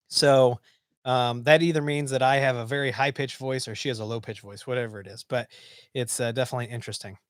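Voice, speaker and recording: A man's voice: mid-range voice, a little fast, dry recording. Guy in his 40s chatting on a desk webcam stream, lots of ums, dry close sound. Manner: relaxed, rambling, many ums and restarts. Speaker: livestream host. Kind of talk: casual live-stream chat reading viewer comments. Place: small home office, indoors.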